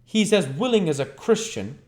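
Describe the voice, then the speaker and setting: A man's voice: high voice, very animated, moderate pace, a little mellow, voice pushed up. A man voicing a brutal, menacing thief who growls threatening commands in a storybook reading. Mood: grim, menacing. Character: brutal, menacing adult male thief bullying a boy. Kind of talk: storybook character voice.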